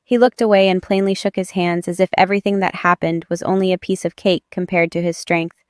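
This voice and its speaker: A woman's voice: lowish voice, little pitch movement, fairly quick, a little mellow, smooth, no echo, clean studio sound. A woman giving dramatic first-person narration of a romance audiobook.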